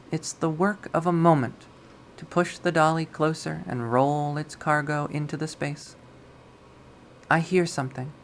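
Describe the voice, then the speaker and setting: Quiet reader going through a visual novel, middle-pitched voice, calm and even. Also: a little hiss or hum. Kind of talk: reading a visual novel aloud.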